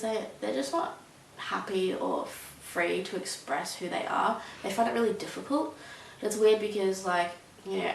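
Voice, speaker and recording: A woman's voice: medium pitch, vocal fry, a bit bright. A young woman, answering interview questions casually and hesitantly, with many ums and likes. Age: young adult. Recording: roomy, mic at a distance, faint hiss.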